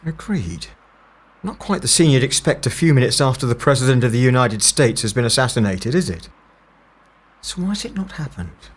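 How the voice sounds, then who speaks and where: A man's voice: medium pitch, animated, normal speed, husky. A man playing a stern, dry, impatient investigator in a scripted audio drama, with clipped, sardonic delivery.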